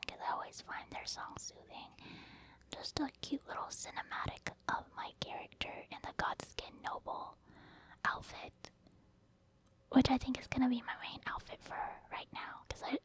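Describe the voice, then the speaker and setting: Whispering woman doing ASMR game commentary. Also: a little high. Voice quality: soft, breathy whisper. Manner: casual, rambling, gentle explaining. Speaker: gaming ASMR video host.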